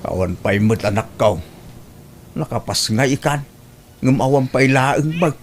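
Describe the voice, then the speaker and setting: A man's voice: mid-range voice, moderate pace, a little hiss or hum, lightly acted. A man playing a stern, worried older village father in an audio drama. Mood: worried, fatherly.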